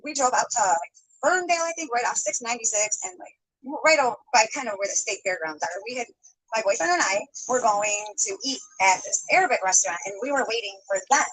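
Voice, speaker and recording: A woman's voice: a little high, lively intonation, fast, a bit bright, poor audio. A woman telling a rambling story, hedging often and breaking into little laughs. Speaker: storytime YouTuber.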